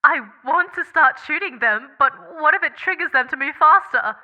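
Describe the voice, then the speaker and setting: Anxious young heroine, played by an actress: high voice, urgent yet hesitant, audio drama delivery, dull lo-fi sound. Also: female voice, normal speed, bright, forceful tone. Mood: desperate. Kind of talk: scripted audio drama acting.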